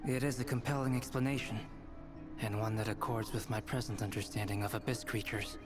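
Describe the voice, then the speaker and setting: An actor playing a composed, cerebral alchemist in a fantasy game, formal, analytical and polite. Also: male voice, on the low side, steady tone, fairly quick, over loud music, close to an everyday voice. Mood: composed, appreciative. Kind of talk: video game cutscene acting.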